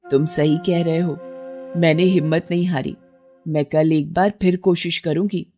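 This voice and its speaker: A woman's voice: low-pitched, steady tone, normal speed, warm tone, muffled recording, faint music behind. A woman voicing an aging fisherman's wife in a cartoon story, caring and firm as she gives advice. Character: poor aging fisherman's wife, steadfast and wise.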